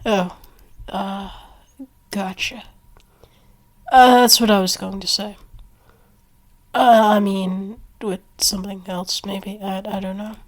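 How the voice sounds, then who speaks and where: Female ASMR roleplay performer, slow, acted delivery. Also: medium pitch, fairly even intonation.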